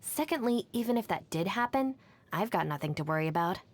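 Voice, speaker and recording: A woman's voice: medium pitch, expressive, close to an everyday voice. An actress voicing a capable, no-nonsense young female gang deputy in a video game, matter-of-fact and dryly confident. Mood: dry, self-assured. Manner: matter-of-fact, composed, brisk. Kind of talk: video game character voice lines.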